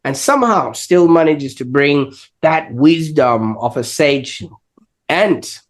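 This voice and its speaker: A man's voice: fairly high pitch, expressive, slow. A man hosting a podcast, introducing his guest with enthusiasm and an uneven pace. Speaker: podcast host.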